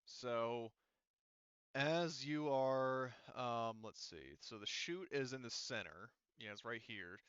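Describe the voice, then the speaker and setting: Hesitant male game master, clear smooth voice. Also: medium pitch, slow, a bit bright, no echo, muffled recording. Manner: hesitant, thinking aloud. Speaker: game master of a tabletop roleplaying game. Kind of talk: casual improvised game narration and rules talk.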